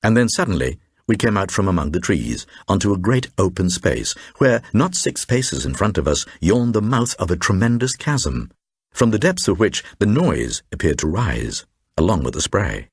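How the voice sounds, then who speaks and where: An actor playing a grave man who recounts an eerie tale of the supernatural in a deliberate, suspenseful radio reading. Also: deep voice, sing-song, slightly rough, no room sound, studio quality, heavily acted. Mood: ominous. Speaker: narrator. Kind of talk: dramatised first-person reading of a supernatural horror tale for radio.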